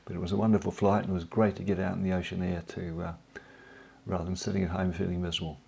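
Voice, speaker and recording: Croaky man with a cold, low and gravelly. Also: fast talker. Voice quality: gravelly, husky. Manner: relaxed, hesitant, many ums and uhs. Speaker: drone hobbyist vlogger. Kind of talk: casual voice-over narration.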